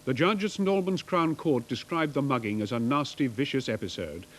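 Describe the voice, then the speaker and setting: A man's voice: mid-range voice, fairly quick. A British man reading scripted news as a newscaster in a formal manner. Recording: 1980s broadcast with faint noise.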